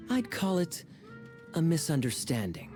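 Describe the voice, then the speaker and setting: An actor voicing a suave, teasing young knight captain in a video game, with a smooth, wry, theatrical delivery. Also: male voice, a little high, very animated, measured pace, darkish tone, faint music behind.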